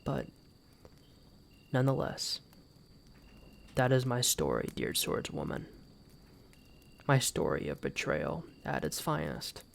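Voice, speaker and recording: A man playing an embittered exiled knight in a fantasy audio drama, speaking formally and solemnly with a gravelly voice and dramatic pauses. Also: medium pitch, very animated, slight background noise, exaggerated voice. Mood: wounded, grave. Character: embittered exiled knight, wounded pride, grieving for his mother. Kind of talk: first-person fantasy roleplay audio drama.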